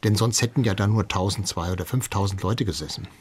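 A man's voice: lowish voice, fast talker, slightly rough. A man speaking as an expert guest, explaining history in an interview.

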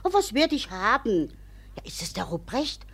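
An excitable, superstitious village witness in a classic comedy radio play. Her husky voice rises and falls dramatically as she spins out her testimony with emphatic, gossipy relish. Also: fairly high pitch, moderate pace, full character voice. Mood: gossipy, confiding.

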